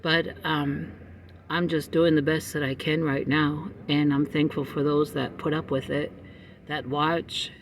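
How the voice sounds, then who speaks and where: A middle-aged man talks from a parked car in a loose, wandering way, pausing often. His voice sits a little high for a man. The phone mic is a bit distant, with faint ambient noise behind him. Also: flat delivery. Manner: chatty, meandering, frequent um pauses. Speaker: vlogger. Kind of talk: casual rambling chat to viewers. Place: parked car in a parking lot.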